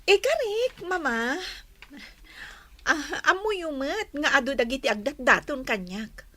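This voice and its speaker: A woman's voice: sits high, expressive, unhurried, pushed and bright, no echo, full character voice. A woman with an Ilocano accent playing a coaxing, secretive teenage daughter in an audio drama. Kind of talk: Filipino audio drama acting.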